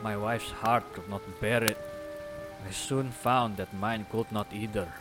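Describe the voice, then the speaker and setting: A man's voice: lowish voice, fairly even intonation, unhurried, over music, lightly acted. An actor playing a stern, devout village father who speaks formally and solemnly in an audio drama. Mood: solemn, grieving. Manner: formal, solemn, archaic phrasing.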